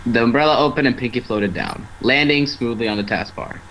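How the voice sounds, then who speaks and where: A man reads a fan story as its narrator. His voice sits at an average pitch, with casual storytelling and occasional stumbles over the script. The amateur stream carries faint noise. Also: a little fast, fairly bright tone. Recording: noisy amateur online stream.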